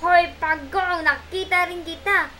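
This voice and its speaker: A woman's voice: very high voice, fairly even intonation, unhurried, pushed and bright, slight background noise, pitched above the performer's own voice, exaggerated voice. A woman voicing a greedy, mean monkey in an amateur puppet show, blustering and threatening, whining when in trouble. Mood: angry, menacing.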